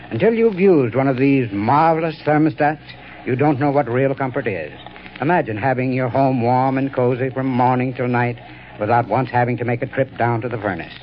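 A man delivering an earnest, persuasive, measured 1930s radio sales pitch as an expert spokesman. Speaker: advertising spokesman, heating expert. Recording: dull, noisy old radio recording.

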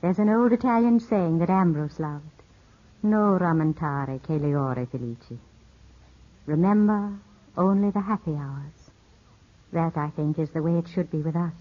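An actress playing an elegant, composed widow, gently sorrowful and guarded, speaking with measured poise in 1950s radio drama style. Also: female voice, deep voice. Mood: wistful. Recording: dull, muffled 1950s radio broadcast.

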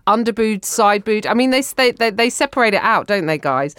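A woman's voice: mid-range voice, animated, brisk, a bit bright, clear, resonant tone, dry recording, clean studio sound. A woman guest co-hosting a comedy podcast, riffing bawdily in rambling, stammering bursts with frequent restarts. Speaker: guest co-host. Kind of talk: improvised comedy banter. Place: studio in New York.

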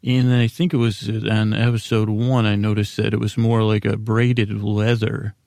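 Creaky-voiced man rambling gently on a podcast. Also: on the low side, flat delivery, no room sound, clean studio sound. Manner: meandering, halting, mumbling, self-deprecating. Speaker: podcast host. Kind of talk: rambling casual monologue for a sleep podcast.

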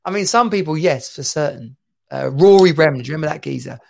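Male stream co-host, slightly higher voice with gravel, rambling casual banter. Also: quick pace. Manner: rambling, chatty, laughs often. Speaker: podcast co-host. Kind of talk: casual live-stream chat.

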